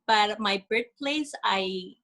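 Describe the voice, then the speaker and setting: A woman speaks earnestly over a video call, her voice at an everyday middle pitch. She pauses often with filler sounds as she explains her experience. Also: pushed and bright. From Philippines. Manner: earnest, halting with many ums. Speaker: guest speaker. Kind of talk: webinar presentation.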